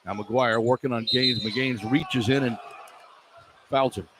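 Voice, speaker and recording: A man's voice: medium pitch, steady tone, slow pace, a bit bright. A man calling live basketball on the radio as play-by-play announcer, describing the action in clipped running phrases. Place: indoor sports arena. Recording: live arena broadcast with background noise.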